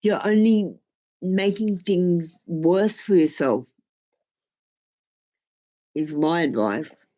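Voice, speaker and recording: A woman's voice: lowish voice, drawn-out words, pauses often, dark tone, poor audio. A woman giving a casual live tarot reading, offering blunt, informal advice with some swearing. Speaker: tarot reader and live stream host.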